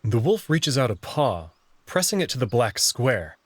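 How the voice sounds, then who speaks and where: Male story narrator with lively intonation. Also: mid-range voice, a little slow, breathy edge, dry recording. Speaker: narrator and reader of a visual novel. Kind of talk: first-person story narration with acted dialogue.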